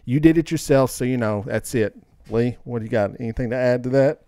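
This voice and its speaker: A man's voice: medium pitch, brisk, warm tone, clean tone, dry recording. A man hosting a casual podcast, bantering and debating in a colloquial, opinionated, teasing way. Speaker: podcast host.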